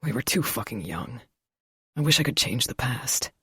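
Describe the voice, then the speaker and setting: A woman voicing a gruff young father just out of prison, speaking low and subdued in a husky voice. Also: animated, moderate pace, pushed and bright, no echo, clean studio sound, full character voice. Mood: tender. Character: gruff young adult man, ex-convict father. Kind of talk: audiobook character voice.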